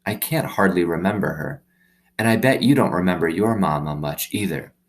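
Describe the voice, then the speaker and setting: A man's voice: low-pitched, animated, moderate pace. A man reading a storybook, voicing a lonely, earnest young girl who confides plainly in her dog. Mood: wistful, confiding. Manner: plain-spoken, confiding, childlike phrasing. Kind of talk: storybook read-aloud.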